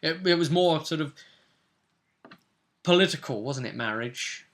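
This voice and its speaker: A man's voice: fairly high pitch, expressive, measured pace, a bit bright. A man chatting casually as game master and host, recapping a tabletop roleplay session.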